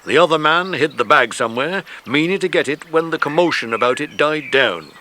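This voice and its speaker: An actor playing a genial, authoritative police inspector in a children's audio drama. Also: male voice, fairly high pitch, expressive, fairly quick, pushed and bright. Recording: faintly noisy cassette transfer.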